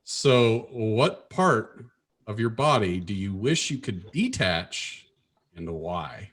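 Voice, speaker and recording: A man's voice: fairly low pitch, sing-song, slow. A man co-hosting a casual podcast, teasing his co-host and joking around. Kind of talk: casual banter.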